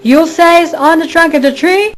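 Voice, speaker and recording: A woman's voice: very high voice, normal speed. A woman playing an obliging, unsuspecting man in an amateur radio drama, earnest and straightforward. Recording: faint background noise, amateur recording.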